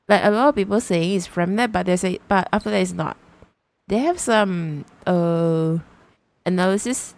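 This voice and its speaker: A woman's voice: fairly low pitch, a little mellow. A woman livestreamer giving casual commentary on a game, often repeating and rephrasing her thoughts.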